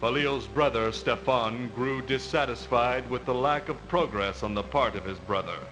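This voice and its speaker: A man's voice: mid-range voice, low-quality recording. Formal male game narrator over music. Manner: measured, formal storytelling. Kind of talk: scripted dramatic video game narration.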